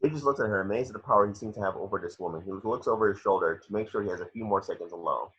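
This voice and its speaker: A man's voice: lowish voice, very fast, fairly bright tone, breathy edge. A man narrating scripted action descriptions in an amateur table read, on a lo-fi home recording.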